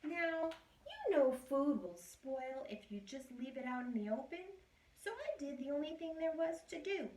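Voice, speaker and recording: A woman's voice: high-pitched, lively intonation, normal speed, darkish tone, voice pushed up. A woman reading as a wronged wolf. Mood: aggrieved, chatty. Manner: chatty, wry, self-justifying storytelling. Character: self-pitying male wolf telling his side. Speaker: teacher reading aloud. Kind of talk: storybook read-aloud. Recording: roomy echo, distant mic.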